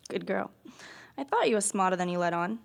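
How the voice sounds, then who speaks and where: A woman playing a tough, sly sword instructor in a fantasy audio drama, with a creaky voice and a curt, taunting manner. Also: mid-range voice, expressive, moderate pace. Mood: curt, mocking.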